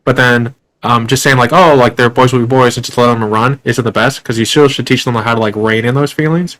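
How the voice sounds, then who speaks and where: A man's voice: medium pitch, fairly even intonation, brisk, a bit bright. A young man hosting an interview, asking long, rambling questions with lots of fillers and restarts. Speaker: podcast host. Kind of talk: casual interview.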